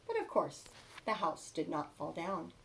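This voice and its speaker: A woman reading a children's storybook aloud expressively, with emphatic stresses and dramatic pauses. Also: fairly low pitch, huge pitch swings, slight background noise, rough recording. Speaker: storybook reader.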